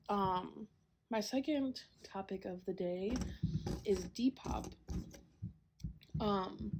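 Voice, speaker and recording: A congested young woman chats on her own podcast while sorting puzzle pieces, her talk loose and rambling, packed with "like" and "um." Her pitch sits in an ordinary middle range. A steady hiss of noise runs behind her home recording. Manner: rambling, full of "like" and "um", with small laughs. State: congested, clearing her throat. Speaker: podcast host. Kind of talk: casual solo chat while doing a jigsaw puzzle. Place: a home, downstairs.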